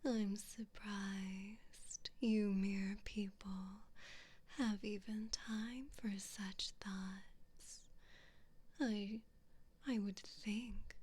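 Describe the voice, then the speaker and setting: Woman playing a whispering, slow, haughty evil queen. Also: medium pitch, level tone, darkish tone. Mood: mocking, threatening. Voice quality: breathy whisper. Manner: slow, imperious, condescending, drawn-out phrasing. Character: haughty, cruel evil queen, disdainful of peasants. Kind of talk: whispered ASMR roleplay.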